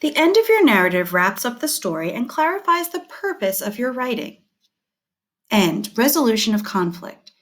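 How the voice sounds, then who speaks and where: A woman teacher reading scripted instructional material aloud, setting out headings and short points in a measured, deliberate way. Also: fairly high pitch, very animated, a bit bright.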